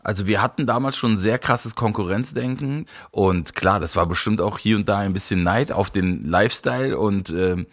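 Candid man with a low voice. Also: normal speed, hardly any pauses, no echo. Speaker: interviewee.

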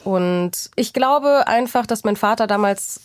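A woman's voice: medium pitch, measured pace, no room sound. A young adult woman speaking as an interview guest, reflective and hesitant, often restarting her sentences. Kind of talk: candid personal conversation.

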